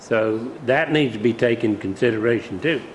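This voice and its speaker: Measured man at a public meeting. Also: medium pitch, a little hiss or hum.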